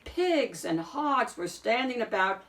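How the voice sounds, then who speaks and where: A woman narrating a children's picture book in an expressive read-aloud style, with deliberate, dramatic emphasis. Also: on the high side, distant mic. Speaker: storyteller, picture book reader.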